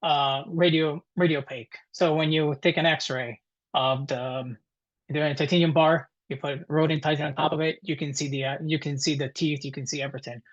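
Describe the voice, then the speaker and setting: A man in his thirties with an Italian accent, presenting informally to a webinar in a halting, conversational way. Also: fairly high pitch, fairly even intonation. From Italy. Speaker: guest presenter, dental technician. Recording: dull, muffled webinar audio.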